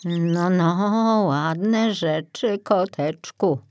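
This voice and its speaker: A woman's voice: lowish voice, very slow, darkish tone, slight background noise. A woman voicing a drowsy, grumbling male forester in a children's audiobook. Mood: bitter, muttering. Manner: muttering, slightly bewildered.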